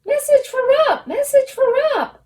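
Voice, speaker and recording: A woman voicing a hyper little storybook gnome who delivers messages in a squealing, screechy half shout. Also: very high voice, lively intonation, unhurried, pitched above the performer's own voice. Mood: excited squealing.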